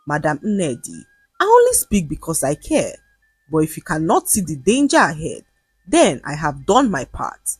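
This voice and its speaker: Woman voicing a gentle, admonishing male principal. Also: low voice, wildly swooping pitch, moderate pace, breathy edge, no echo, faint music behind, exaggerated voice. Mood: gentle, grave. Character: gentle, concerned male school principal, patiently warning. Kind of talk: dramatised quotes in narrated folktale storytelling.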